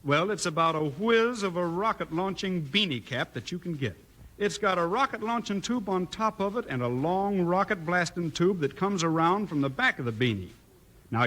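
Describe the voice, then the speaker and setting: An American man giving a folksy, exuberant 1950s radio commercial pitch aimed at children. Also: fairly bright tone. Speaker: commercial announcer. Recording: old 1950s radio recording.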